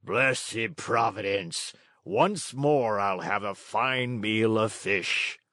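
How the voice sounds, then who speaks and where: A man acts out an ugly green monster of a fisherman from a children's storybook. He is greedy and pompously polite, lingering slowly over his words in a dry, close recording. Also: lowish voice, sharp tone, no echo, exaggerated voice. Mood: gloating, savoring. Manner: slow, savoring, pompous. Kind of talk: children's storybook read-aloud.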